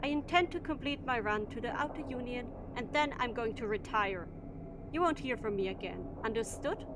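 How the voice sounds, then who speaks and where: A woman's voice: on the high side, lively intonation, moderate pace, noisy background, lightly acted. A woman playing a blunt, defiant shuttle captain in an audio drama, curt and dismissive in manner. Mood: defiant, fed up.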